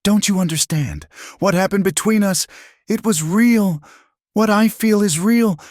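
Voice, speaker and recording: A man's voice: low-pitched, lively intonation, normal speed, a little mellow, dry recording, clean studio sound. A man voicing an earnest, frustrated man pleading his love in an audiobook story, raw with emotion. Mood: impassioned, raw.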